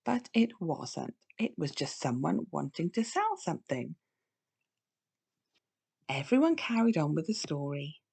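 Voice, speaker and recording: A woman reading a children's storybook aloud with expressive, deliberate phrasing for young listeners. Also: low-pitched, vocal fry, breathy edge. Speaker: storybook reader. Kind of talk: scripted children's read-aloud narration.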